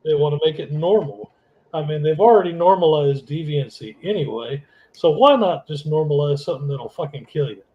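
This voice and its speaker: A man's voice: on the high side, brisk, lo-fi sound. A man co-hosting a podcast in casual chat, opinionated, crude and rambling. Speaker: podcast co-host.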